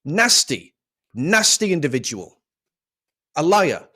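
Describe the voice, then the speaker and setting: A man's voice: a little high, very animated, takes it slowly, pushed and bright. A man giving a scornful, swearing rant of political commentary.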